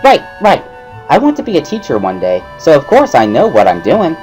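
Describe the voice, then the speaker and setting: A woman's voice: low-pitched, wildly swooping pitch, a little fast, darkish tone, soft background music, low-quality recording. A woman voicing a bubbly, pushy schoolgirl tutor with perky, giggly, emphatic speech in a visual novel reading. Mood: eager, flustered. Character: bubbly, pushy schoolgirl, earnest amateur sign language tutor.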